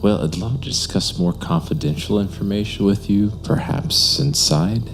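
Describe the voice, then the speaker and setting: An actor playing a suave, insistent homicide detective in an audio drama, formal and smoothly polite. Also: male voice, fairly low pitch, normal speed, natural voice. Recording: music under the voice.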